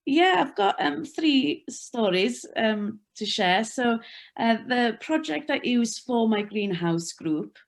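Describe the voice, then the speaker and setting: A woman's voice: fairly high pitch, big pitch swings, slow pace. Woman, Welsh accent, Welsh-speaking, webinar guest, hesitant, filler-heavy. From North Wales. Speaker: church worker, webinar guest. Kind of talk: video call conversation.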